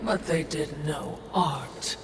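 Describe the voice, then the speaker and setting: Game sidekick: prim spirit, wry and unhurried, distant echoing sound. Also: female voice, low voice, creaky, noisy background, exaggerated voice. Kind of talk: video game character acting. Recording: echoey, distant, under loud music.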